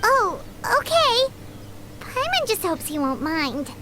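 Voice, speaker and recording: A woman voicing a tiny, squeaky, childlike fairy companion in a video game, friendly and greedy, talking about herself in third person. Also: big pitch swings, takes it slowly, very noisy, exaggerated voice. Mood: hesitant. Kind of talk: scripted video game voice acting.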